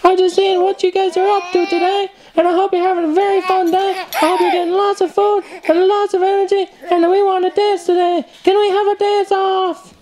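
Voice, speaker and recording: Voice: very high-pitched, level tone, a little fast, a crowd in the background. A performer voicing a friendly little puppet creature for toddlers, babyish and cheerful in a sing-song manner, with music playing. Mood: cheerful, eager. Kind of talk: improvised puppet show for toddlers.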